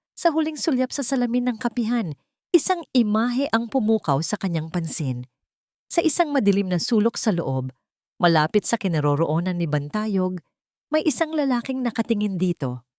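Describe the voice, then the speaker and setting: A woman narrates a dramatic piece of fiction in a somewhat low voice. Her delivery is deliberate and suspenseful, like a storyteller reading from a script. The sound is dry, with very little room around it. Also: warm tone, no room sound.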